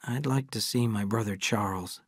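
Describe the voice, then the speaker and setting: A man voicing a grave, widowed father of twins who speaks in a measured, earnest way. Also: on the low side, dry recording, clean studio sound.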